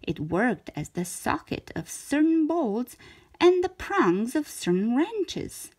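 A woman reading a children's story aloud in an expressive narrator's style, with deliberate, dramatic phrasing. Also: high-pitched, husky.

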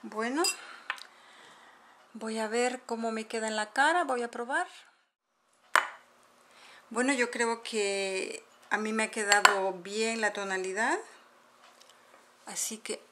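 A middle-aged woman calmly explaining, step by step, how she mixes powders at a table at home, filmed by a camera above the table. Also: fairly high pitch, slow, long pauses, background noise. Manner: patient step-by-step explaining while mixing powders. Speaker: makeup tutorial host. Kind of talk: casual how-to demonstration. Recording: home video with clinking dishes. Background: clinking spoons and bowls.

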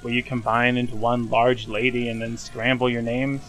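A man voicing an awkward, downcast young man in a fan dub, talking in a halting, casual, evasive way. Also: mid-range voice, little pitch movement, a bit bright, lightly acted, poor audio.

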